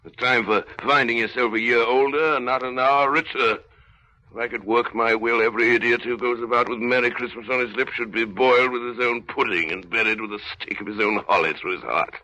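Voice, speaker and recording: A man acts out a cantankerous old skinflint from a vintage radio play. The voice is very bright and hard-edged, grumbling and barking in curt, scornful bursts. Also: mid-range voice, fairly even intonation, fairly quick, natural voice, rough recording. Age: older. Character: miserly, irritable old man, cold and scornful employer. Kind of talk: 1930s radio drama acting. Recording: old 1930s radio broadcast.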